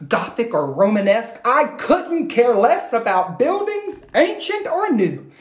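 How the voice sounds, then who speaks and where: A man's voice: extremely high pitch, sing-song, a little slow, voice pushed up, full character voice. A man voicing a stern, dismissive woman schoolteacher from a storybook, lecturing emphatically in an echoey room. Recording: echoey room, phone recording.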